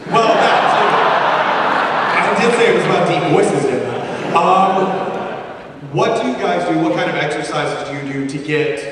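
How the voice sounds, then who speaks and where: A man's voice: fairly high pitch, lively intonation, normal speed. A man in the audience at a convention, asking a casual question in a hesitant way. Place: large indoor convention hall. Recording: distant, echoey hall with crowd noise.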